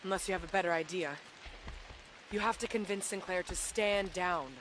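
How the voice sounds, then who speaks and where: An actress playing a guarded, intense rebel operative in a video game, speaking in an earnest, terse, insistent way. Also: female voice, on the low side, moderate pace, slight background noise, natural voice. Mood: resolute. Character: determined half-Vektan woman rebel operative, guarded and intense. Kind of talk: dramatic video game acting. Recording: loud music behind the voice.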